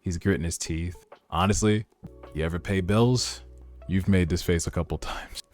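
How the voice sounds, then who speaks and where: A man's voice: deep voice, fairly even intonation, moderate pace, darkish tone. A man giving casual, slangy reaction commentary as a streamer reading a webtoon aloud, with some swearing. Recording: music behind the voice. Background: background music.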